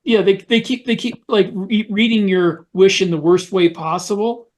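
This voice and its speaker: A man co-hosting a podcast in casual panel discussion, speaking haltingly and repeating words as he searches for phrasing. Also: high voice, steady tone. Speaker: podcast co-host.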